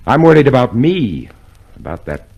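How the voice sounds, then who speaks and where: A man's voice: medium pitch, very animated, moderate pace, creaky, a little mellow, slightly rough, full character voice. An actor playing an anxious, hopeful man in secret revolt in a radio drama, speaking hesitantly and fretfully. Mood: anxious, urgent. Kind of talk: old-time radio drama acting.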